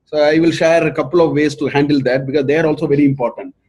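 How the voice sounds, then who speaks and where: A middle-aged Indian man lectures earnestly, his voice a little husky and fairly high. He speaks English as a second language and hammers his points home through repetition. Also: steady tone, fast talker, darkish tone. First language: not English. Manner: earnest, repeats points for emphasis. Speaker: professor giving a research talk.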